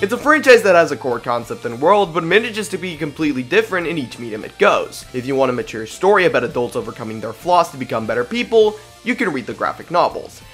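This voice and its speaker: A man's voice: a little high, sing-song, quick pace, hardly any pauses, fairly bright tone, faint music behind. A young man narrating a scripted video essay in an opinionated, informal, slangy way.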